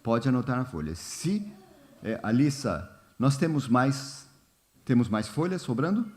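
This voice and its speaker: A man leading a church Bible study in a relaxed, informal way, often pausing with fillers and asking the congregation questions. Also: medium pitch. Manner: unhurried, many fillers and "né?", asks the congregation questions. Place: church hall.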